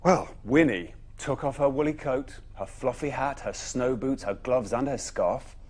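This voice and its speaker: A man reading a children's bedtime story expressively, with dramatic pauses and emphatic phrasing. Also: mid-range voice, a little mellow.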